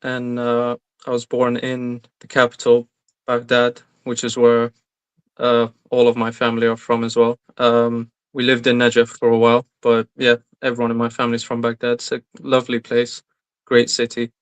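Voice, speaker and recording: A man's voice: medium pitch, completely flat delivery, moderate pace, clean tone. A young man from Iraq, a recent graduate, answering interview questions in a casual, hesitant way with many fillers. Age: young adult.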